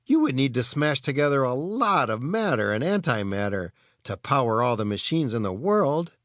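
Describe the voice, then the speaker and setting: A man's voice: medium pitch, big pitch swings, normal speed, fairly bright tone, dry recording, studio quality. A man voicing a skeptical, easily baffled boy in a children's storybook, with blunt, puzzled delivery.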